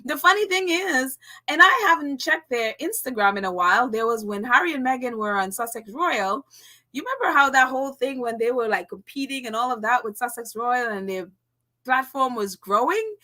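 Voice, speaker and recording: A woman's voice: fairly high pitch, animated, moderate pace, sharp tone. A woman with a Caribbean accent hosting a casual livestream, reading chat comments aloud with frequent laughs and fillers. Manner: chatty, laughs often, many fillers. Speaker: channel host reading live chat.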